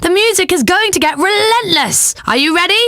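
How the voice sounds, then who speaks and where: Game radio host: sardonic young female pop DJ, bubbly, highly animated delivery, bright tone, tongue-in-cheek. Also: normal speed, dry recording, clean studio sound, heavily acted. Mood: bubbly, teasing. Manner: breezy, tongue-in-cheek, deadpan asides. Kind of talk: satirical game radio DJ patter.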